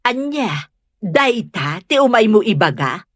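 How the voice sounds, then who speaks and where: Babai nga agak-akto a naunget, natangken ulona a katugangan. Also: female voice, a little high, big pitch swings, unhurried, dry recording, studio quality. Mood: scornful. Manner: curt, dismissive. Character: scornful, stubborn middle-aged mother-in-law who disowns her family. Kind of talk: comedy audio drama.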